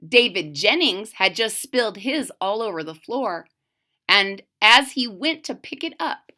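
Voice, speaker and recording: A woman reading a picture book aloud to children in a dramatic way, pausing to put questions to young listeners. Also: medium pitch, animated, pushed and bright.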